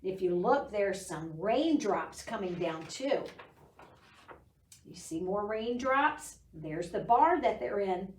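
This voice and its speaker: A woman's voice: mid-range voice, animated, takes it slowly, recorded from a distance. A middle-aged woman reading a picture book expressively to young children at a storytime. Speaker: library storytime reader. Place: indoor library room. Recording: roomy, voice away from microphone.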